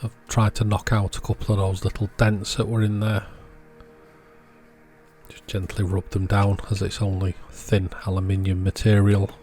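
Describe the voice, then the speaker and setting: Low-pitched man narrating, music behind. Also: monotone, normal speed, darkish tone. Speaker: electronics repair channel host. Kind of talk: informal how-to narration voice-over.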